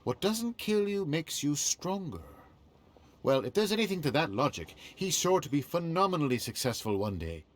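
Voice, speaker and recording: An actor voicing a friendly, gossipy older shopkeeper in a game, with a lively, folksy voice. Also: male voice, a little high, normal speed. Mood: chatty. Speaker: game character.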